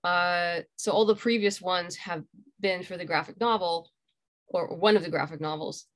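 Lower-pitched middle-aged woman explaining casually. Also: steady tone, normal speed. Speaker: interview guest, graphic novel creator. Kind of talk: casual interview conversation. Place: home room, indoors, on a video call. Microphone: video call computer microphone.

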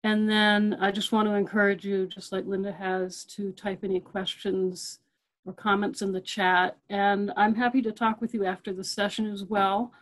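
A woman's voice: medium pitch, level tone, normal speed, low-quality recording. A middle-aged woman librarian giving a webinar over a computer mic. She talks in a loose, conversational way, with many fillers and restarts, and she repeats her key points. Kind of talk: webinar presentation.

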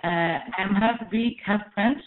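A woman in her twenties from Egypt giving a prepared webinar talk, careful and measured, with frequent "uh" fillers. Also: mid-range voice. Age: 20s. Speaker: webinar speaker. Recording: echoey, phone-like webinar audio.

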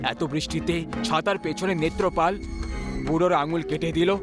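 A man's voice: fairly high pitch, steady tone, normal speed. A man narrating a scripted horror story as its storyteller. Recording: music behind the voice.

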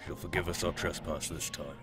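An actor playing a grave, cryptic guardian of a grove in a fantasy audio drama, speaking in a solemn, measured and formal way. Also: male voice, extremely low pitch, steady tone, over music. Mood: grave, urgent.

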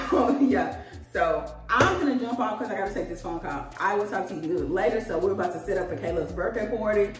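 A woman, chatting casually to camera in a rambling, animated, slangy way as a vlogger. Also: mid-range voice, a little fast. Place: small tiled indoor room at home. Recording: echoey room, distant mic, music behind.

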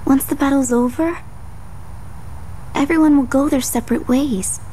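A woman voicing a gentle, wistful young woman warrior in a video game, soft, hesitant and earnest. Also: high voice, normal speed, a little hiss or hum, lightly acted, low-quality recording. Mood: wistful, sad. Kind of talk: video game drama acting.

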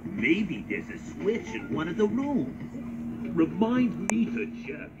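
A man voicing an excitable, earnest plumber hero in a video game cartoon, with exaggerated exclamatory delivery. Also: sits high, slow pace, muffled recording, over loud music, exaggerated voice. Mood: musing.

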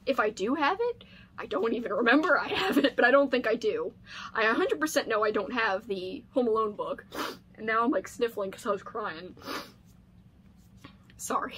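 Excitable, higher-pitched woman chatting. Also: a little hiss or hum. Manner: gushing, excitable, animated. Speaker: book channel host. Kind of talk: casual chat, unboxing vlog. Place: small home room lined with books.

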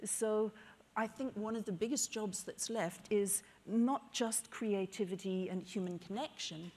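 A woman's voice: mid-range voice, measured pace, a little mellow. A woman speaking as a panelist on a stage through a lapel mic, assured and pointed. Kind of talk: panel discussion. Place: stage in front of a backdrop.

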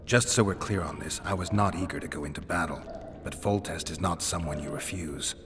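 An actor playing a gruff, hardened, sardonic monster hunter held prisoner in a video game, with a dry deadpan delivery. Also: male voice, deep voice, fairly quick, long reverb tail, background noise, close to an everyday voice. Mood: dry, wary. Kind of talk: dramatic video game acting.

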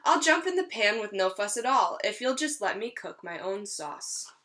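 A woman's voice: mid-range voice, very animated, normal speed, sharp tone. A woman reading aloud as a brave, clever, polite young cowboy boy, eager and scheming. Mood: eager, bargaining.

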